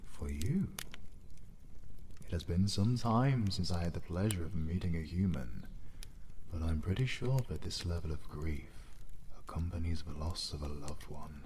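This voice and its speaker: Man playing a deep, slow British god of healing. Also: darkish tone, a little hiss or hum. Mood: gentle concern. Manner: formal, soothing, theatrical, musing. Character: ancient gentle male god of recovery, kindly, fatherly. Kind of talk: scripted audio roleplay acting.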